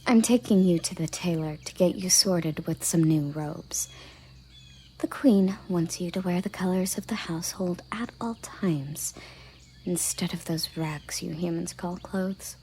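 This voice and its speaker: A haughty female elven captain of the guard, acted by a woman for an audio roleplay. Her voice is breathy, mellow and on the low side. She speaks slowly and curtly, giving commands with cool condescension. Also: a little hiss or hum. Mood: annoyed, commanding. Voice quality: husky, breathy, soft low voice. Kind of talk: audio roleplay addressed to the listener.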